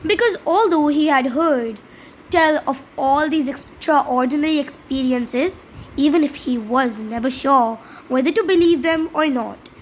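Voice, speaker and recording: Female read-aloud host, high voice, children's storybook narration with slight stumbles, faint noise behind. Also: measured pace, poor audio.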